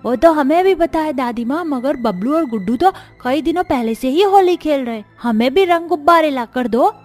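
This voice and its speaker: A woman voicing an eager, excitable small village child in a family story. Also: sits high, moderate pace, soft background music, pitched above the performer's own voice.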